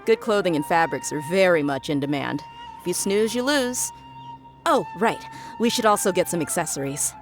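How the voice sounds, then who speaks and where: A confident, friendly young woman from a video game, acted by a woman. Her voice sits on the low side and she talks in a casual, breezy way, with soft music behind. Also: animated, moderate pace, natural voice.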